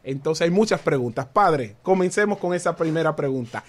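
Man, talk show host, slightly high pitch, jokey. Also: lively intonation, a little fast. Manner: joking, laughs often. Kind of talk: casual talk show chat.

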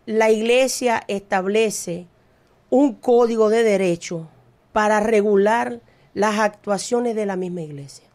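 A woman's voice: medium pitch, unhurried, a little mellow. A woman co-hosting a talk show, trading teasing banter and asking the priest questions.

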